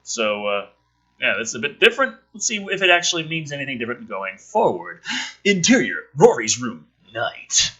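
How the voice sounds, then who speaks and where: A man with a very bright, forceful voice that has a rough, husky edge. He reads script aloud with exaggerated drama, then drops into rambling, sarcastic, profane commentary as the host. His pitch swings around in a lively way. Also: normal speed, poor audio. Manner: sarcastic, exaggerated dramatic delivery when reading. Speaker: host reading and commenting on a film script draft. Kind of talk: casual sweary commentary mixed with dramatic script read-aloud.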